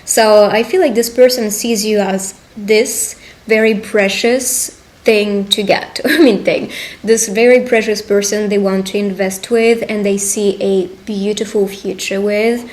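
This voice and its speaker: A woman reading tarot directly to the listener, speaking in unhurried short phrases with a reassuring, affirming manner. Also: medium pitch, steady tone, darkish tone. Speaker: tarot reader hosting a roleplay.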